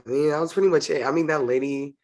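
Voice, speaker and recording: A woman with a stuffy nose from a cold, reviewing a TV episode in casual chat, expressive and opinionated. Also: deep voice, rapid-fire. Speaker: host of a TV show review channel.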